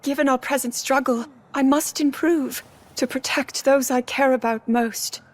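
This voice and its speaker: A devoted young video game princess with a high voice. She speaks sincerely and formally, with respectful, dutiful determination. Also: fairly even intonation, unhurried. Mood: earnest, determined. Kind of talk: dramatic video game voice acting.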